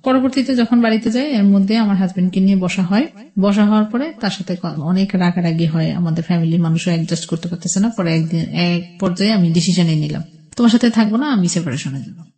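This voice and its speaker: A woman's voice: lowish voice, fast, mellow. A middle-aged woman with a Bangladeshi accent recounts her own life as a radio interview guest, in a rambling, colloquial way. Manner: rambling, colloquial, quotes past conversations. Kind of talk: personal storytelling in a radio interview.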